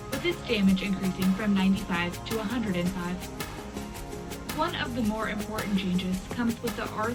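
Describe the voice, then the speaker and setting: A female wartime news presenter, played by a woman, delivers a scripted military bulletin. Her delivery is measured and official, sitting at an average pitch for a woman. Music plays clearly behind her. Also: lightly acted. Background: loud music bed.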